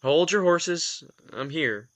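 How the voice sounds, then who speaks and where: An actor playing a reluctant young male vampire in an audio drama, terse and quick to snap. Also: a little high, expressive, fairly bright tone.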